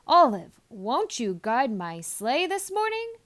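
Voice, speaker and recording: A jolly old man of the storybook kind, played by a woman reading aloud, hearty and commanding. The pitch sits high and swings widely, with emphatic exclamations. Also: huge pitch swings, slow, heavily acted. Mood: coaxing. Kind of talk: children's storybook read-aloud.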